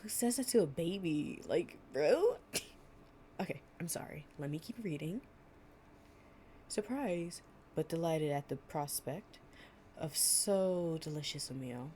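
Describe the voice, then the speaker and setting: A woman's voice: deep voice, lively intonation, a little slow, pauses often, warm tone, slight background noise. A young woman playing an affectionate, chatty girlfriend in an improvised, intimate storytime roleplay, with a casual, slangy and playful way of talking. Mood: amused, casual, then gentle reading. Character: affectionate, chatty girlfriend reading fables to her partner.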